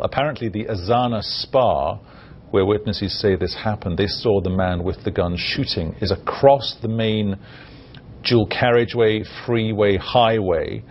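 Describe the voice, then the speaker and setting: A man presenting rolling breaking news as an anchor, on muffled lo-fi audio. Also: lowish voice. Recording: muffled lo-fi broadcast with faint noise.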